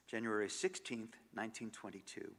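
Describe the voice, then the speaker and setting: A man giving a measured, expressive stage reading of diary entries, sinking to near-whispers at the ends of phrases. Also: on the low side. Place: large concert hall. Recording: long hall reverb.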